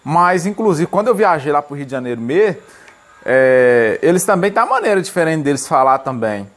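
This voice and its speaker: A young man with a Minas Gerais mineiro accent, talking into a phone at arm's length while sitting on a bench indoors. He rambles and jokes in a casual comic monologue, full of restarts, in a voice a bit higher than most men's. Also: sing-song, fairly quick. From Minas Gerais, Brazil. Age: young adult. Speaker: vlogger. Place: indoors, seated on a wooden bench by a painted wall.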